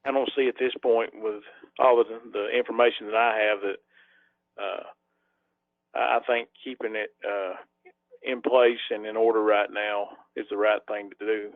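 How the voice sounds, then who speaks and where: A man speaking as a commissioner on a conference call, with halting, tentative, unscripted remarks to a public meeting. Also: lowish voice, flat delivery, dry recording. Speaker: county commissioner. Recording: tinny, dry phone line.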